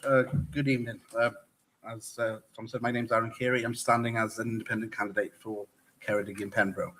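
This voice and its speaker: A man with a mid-pitched voice delivering an earnest, steady prepared hustings speech. Faint background noise sits under the recording. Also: poor audio. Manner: earnest, steady reading of set points. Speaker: independent election candidate.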